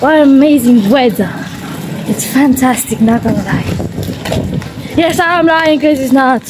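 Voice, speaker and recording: A young woman with a slightly high voice chats and jokes to her phone camera, held at arm's length. She keeps circling back to easy filler words. A faint background hiss sits under her voice in a small indoor room. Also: measured pace. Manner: chatty, joking, repeats filler words. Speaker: vlogger. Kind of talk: casual vlog chat to camera.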